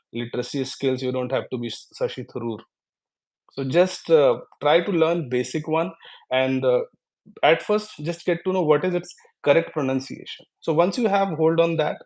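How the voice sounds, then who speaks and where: A man's voice: medium pitch, darkish tone. Indian-accented man giving explanatory advice. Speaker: guest English trainer. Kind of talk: live question-and-answer session, explanatory advice.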